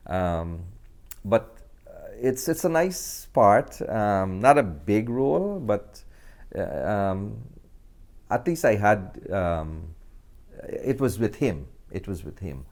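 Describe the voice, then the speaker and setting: A man with a deep voice. He talks casually as an interview guest. His delivery is halting, with phrases often repeated. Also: very animated, a little mellow. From Guyana.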